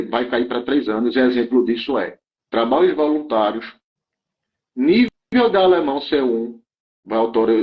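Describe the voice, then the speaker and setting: A man's voice: fairly low pitch, lo-fi sound. A man explaining immigration rules in an interview, often checking in with his listener and restarting phrases.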